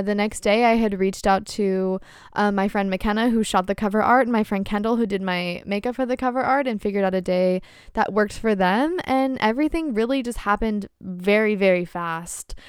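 A woman in her twenties hosting her own podcast, chatting casually on her own with an excitable, candid manner, laughing often and swearing freely. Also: medium pitch, clean tone, no echo, clean studio sound. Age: 20s. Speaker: podcast host. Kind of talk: casual solo chat.

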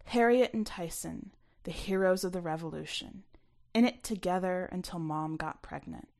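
A woman reading scripted first-person fiction aloud, expressively, with some hushed asides. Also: medium pitch. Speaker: story reader.

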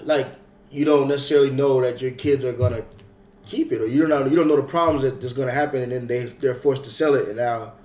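A man's voice: mid-range voice, fairly even intonation, fast talker, darkish tone. A young man co-hosting a podcast, rambling and laughing through stories in casual chat. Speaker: podcast co-host. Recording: echoey room.

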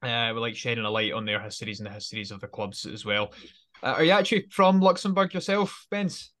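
A man's voice: mid-range voice, quick pace, fairly bright tone. A man co-hosting a football podcast, chatting in a friendly way and often repeating words as he restarts. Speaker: podcast co-host. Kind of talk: casual podcast chat.

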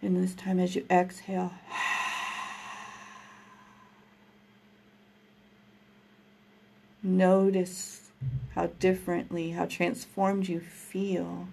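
A woman guiding a breathing meditation, speaking in a slow, soothing, gentle way. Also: fairly low pitch, monotone, creaky, slightly rough. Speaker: meditation guide.

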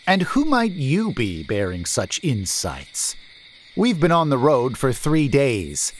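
A man's voice: medium pitch, wildly swooping pitch, takes it slowly, slight background noise, voice pushed up. A man voicing a brusque, suspicious merchant. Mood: skeptical. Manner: brusque, demanding, skeptical. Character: suspicious gruff male merchant leading a wagon.

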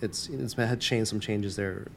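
Soft-spoken man, hesitant and reflective. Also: lowish voice, a little slow, vocal fry, a little hiss or hum. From Taiwan. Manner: hesitant, many fillers and restarts. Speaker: campus minister, guest. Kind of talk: reflective conversational interview.

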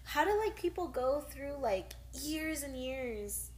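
A woman's voice: high-pitched, animated, takes it slowly. A woman chatting casually and informally, dotting her sentences with "like" as a podcast co-host. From United States. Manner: informal, uses many likes. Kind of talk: casual chat.

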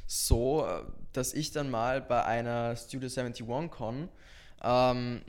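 A man's voice: medium pitch, slow pace, clean tone. An eighteen-year-old man with an Austrian accent, talking casually as an interview guest. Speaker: podcast guest, social media creator.

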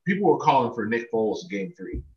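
A dull, echoey recording carries a man's voice from a little way off the mic. He banters about sports with lively intonation, emphatic and often repeating his words. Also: fairly low pitch, brisk, a little hiss or hum. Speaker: podcast guest, sports executive. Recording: dull, roomy remote connection, distant mic.

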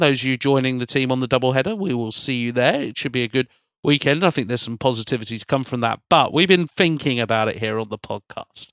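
A man's voice: mid-range voice, a bit bright, dry recording, studio quality. Chatty man hosting a podcast. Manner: rambling, repeats words as he restarts. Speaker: podcast host. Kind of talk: casual chat.

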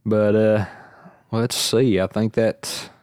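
A man's voice: fairly low pitch, steady tone, slow, darkish tone, clean tone, no echo, studio quality. Easygoing man hosting a podcast. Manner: rambling, easygoing, laughs often. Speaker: podcast host. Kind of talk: casual podcast chat.

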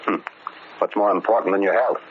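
A tinny, narrow old radio recording with faint noise carries a deep American man's voice. An actor plays a prison warden, paternal but exasperated, admonishing and questioning earnestly. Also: fairly even intonation, fairly quick, lightly acted. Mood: probing. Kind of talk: old-time radio drama acting.

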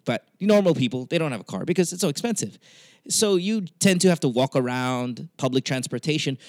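A man's voice: fairly high pitch, no room sound. Blunt man hosting, dry close sound. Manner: blunt, swearing freely. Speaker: podcast host taking calls. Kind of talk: casual, profane talk-show chat.

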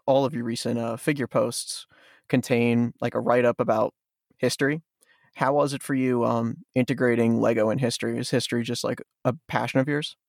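A male podcast host asks questions in a relaxed, conversational way, his voice carrying a gravelly fry. The sound is dry and close, with no room around it. He nods along with brief, easy agreement. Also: mid-range voice, a little fast, no room sound. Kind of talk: casual interview chat.

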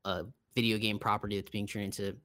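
A man co-hosting a podcast, chatting casually in a measured, low-key way as he thinks aloud. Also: flat delivery, a bit bright, no echo, clean studio sound. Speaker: podcast co-host. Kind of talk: casual conversational film and game chat.

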